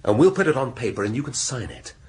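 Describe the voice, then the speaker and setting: An actor playing a shrewd middle-aged English police inspector in a radio drama, speaking deliberately and rhetorically with dramatic pauses. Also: male voice, medium pitch, very animated, close to an everyday voice. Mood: pressing, sardonic. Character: shrewd, theatrical male police inspector laying out a murder case.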